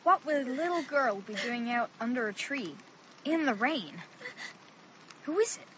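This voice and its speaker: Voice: high-pitched, animated, a little slow, highs cut off, background noise. An actor playing an anxious, wary thirteen-year-old boy who questions everything nervously, in a radio drama.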